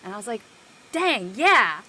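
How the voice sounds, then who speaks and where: Bright, effusive woman panelist. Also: a little high, very animated, unhurried, poor audio. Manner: enthusiastic, slangy, effusive. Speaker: cast member panelist. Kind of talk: casual post-show discussion.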